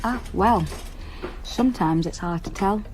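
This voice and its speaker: An actress playing a warm, playful nanny in a naturalistic radio drama, teasing and gently encouraging. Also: female voice, mid-range voice, unhurried, slight background noise, natural voice, low-quality recording. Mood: gentle, cheerful. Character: warm, playful young female live-in nanny.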